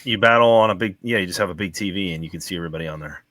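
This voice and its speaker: A man's voice: deep voice, rapid-fire, fairly bright tone, dry recording. A man chatting casually as a panelist, explaining things in loose conversational phrases. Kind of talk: casual chat.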